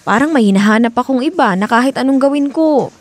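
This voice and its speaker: Moody young Filipina, lively pitch. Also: female voice, fast. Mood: hesitant, troubled. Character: moody, hesitant young woman in a troubled romance. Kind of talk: radio drama acting, conversational.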